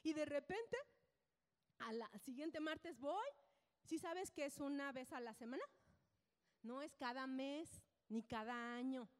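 High-voiced woman preaching in a reverberant hall. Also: unhurried, long pauses. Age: 50s. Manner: animated, colloquial, repeats phrases, acts out dialogue. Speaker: church speaker giving testimony. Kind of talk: testimony preached to a congregation, storytelling. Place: large indoor hall, speaking to an audience. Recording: church hall with long reverb.

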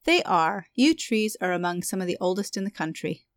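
A man doing a high, motherly receptionist lady. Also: very animated, normal speed, dry recording. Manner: motherly, calls everyone dear. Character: kindly plump older woman receptionist. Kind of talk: audiobook character voice.

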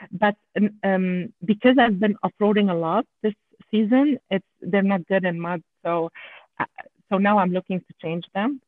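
A woman with a French Canadian accent, a podcast guest chatting in a halting way with many restarts. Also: mid-range voice. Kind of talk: casual podcast chat. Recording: tinny phone-line audio.

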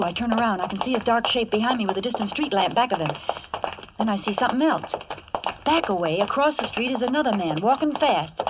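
A woman's voice: fairly high pitch, dull audio, natural voice. An actress playing a wisecracking, nervous young American working woman who narrates her own danger in slangy 1940s radio suspense style. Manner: slangy, hard-boiled, wry. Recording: noisy, band-limited old radio recording.

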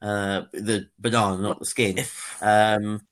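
A man's voice: on the low side, level tone, slow, fairly bright tone. A man, co-hosting a podcast in casual chat, stammering into restarts and laughing often. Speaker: podcast co-host.

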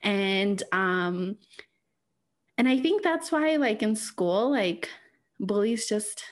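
A woman's voice: mid-range voice, animated, slow pace. A woman whose first language is Spanish, co-hosting a podcast in casual chat and laughing often. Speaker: podcast co-host.

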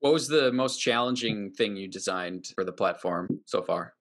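A man's voice: fairly low pitch, animated, moderate pace, fairly bright tone. A man hosting and asking questions in a conversational podcast interview. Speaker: interviewer, host.

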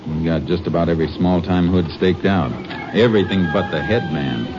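A dull vintage radio recording with music behind carries a low American male voice. a steady, determined Texas Ranger investigator, terse and plain-spoken, in 1950s radio drama style. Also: a little fast. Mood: firm. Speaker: lead actor and narrator.

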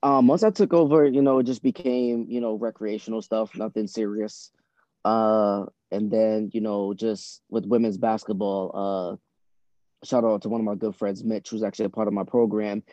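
Muffled, even-toned man from Boston, interviewed. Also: fairly low pitch, normal speed, dry recording. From born in Trinidad, grew up in Boston from age six. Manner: conversational, frequent "you know". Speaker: basketball coach and team executive, podcast guest. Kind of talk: casual interview answers.

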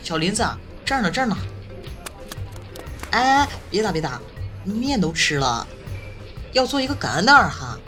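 A woman plays a boastful, cheeky student roommate in a radio play, chattering and teasing with exaggerated flair. The pitch jumps about wildly, and music plays clearly behind. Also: sing-song, moderate pace, long pauses, a bit bright. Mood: coaxing. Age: young adult. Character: cheeky, boastful, meddling college roommate, playful and theatrical. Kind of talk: campus audio drama acting.

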